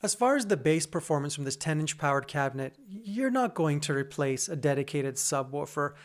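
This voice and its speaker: Relaxed man reviewing gear in a studio. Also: brisk, faint music behind. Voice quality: bassy voice. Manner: conversational, addresses viewers as "guys". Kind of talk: casual product review, partly scripted. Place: home studio.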